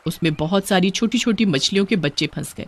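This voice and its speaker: A woman's voice: mid-range voice, fairly quick, a little hiss or hum. A woman narrating a scripted moral story as a storyteller.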